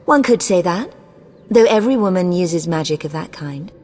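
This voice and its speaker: Haughty sorceress in echoing hall. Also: female voice, fairly low pitch, animated, normal speed, a little mellow. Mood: cool, evasive. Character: haughty, evasive, scheming sorceress. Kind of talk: video game dialogue acting. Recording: long echoing hall reverb.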